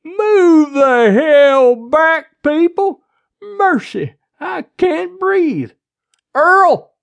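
A bossy small-town sheriff from an audiobook, acted out by the narrator, barking loudly in a raised pitch. The words are stretched out slowly with folksy sarcasm, and the pitch swings widely. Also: sing-song, creaky, full character voice. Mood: barking, exasperated.

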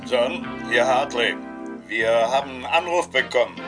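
Brisk mid-pitched police caller played by a man. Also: natural voice. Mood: brisk urgency. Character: brisk male police inspector phoning a colleague. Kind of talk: German audio drama acting. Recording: music behind the voice.

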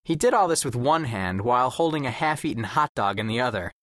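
An observant teenage boy narrates his story in the first person for an audiobook. His mid-pitched voice moves with animated, wry inflection. The sound is dry, with no room to it. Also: brisk, no room sound. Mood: wry, descriptive.

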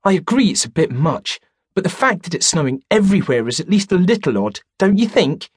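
A man's voice: high-pitched, big pitch swings, fairly quick, breathy edge, dry recording, studio quality, voice pushed up, heavily acted. A male narrator voicing a weary, indifferent young man at a pub, dismissive and resigned in tone.

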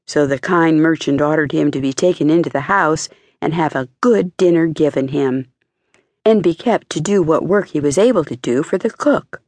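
Low-voiced woman narrating a fairy tale. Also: moderate pace, no echo. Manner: expressive storytelling. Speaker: audiobook narrator.

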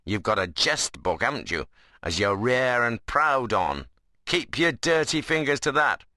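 A stern, principled rustic workman, voiced by a male narrator in a storybook reading. He speaks bluntly and earnestly in a dialect. The delivery is forceful and animated, in a dry recording. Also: mid-range voice, unhurried, dry recording. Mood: stern rebuke. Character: stern, plain-spoken, principled young workman.

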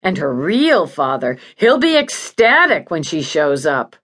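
A woman's voice: low voice, sing-song, a little slow, fairly bright tone, full character voice. A woman voicing an agitated, foul-mouthed female patient in an audiobook, bitter, demanding and in pain. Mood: bitter sarcasm. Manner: pleading, snarling, accusatory. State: in pain, desperate. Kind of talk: audiobook character acting.

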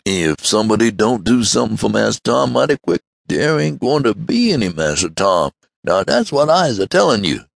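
A man voicing an excited aged male servant who talks in folksy dialect. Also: fairly low pitch, moderate pace, vocal fry, few pauses, dry recording, studio quality, pitched above the performer's own voice, exaggerated voice. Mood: alarmed.

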